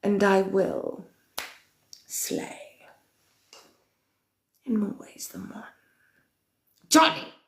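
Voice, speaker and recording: A woman playing a centuries-old villainous queen of darkness, chatting with viewers in a slow, theatrical, often whispered delivery. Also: medium pitch. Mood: sinister, theatrical. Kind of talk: in-character chat with viewers. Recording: roomy echo.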